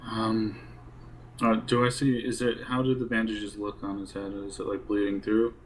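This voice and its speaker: A man playing in a group role play game, talking through decisions in a hesitant, measured way. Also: lowish voice, monotone, slight background noise, low-quality recording. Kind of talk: in-character group game discussion.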